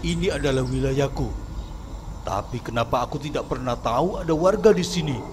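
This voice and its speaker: An actor playing an arrogant, greedy middle-aged village head who barks orders scornfully. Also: male voice, medium pitch, fairly quick, close to an everyday voice. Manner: bossy, scornful, barking orders. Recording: background noise and ambience.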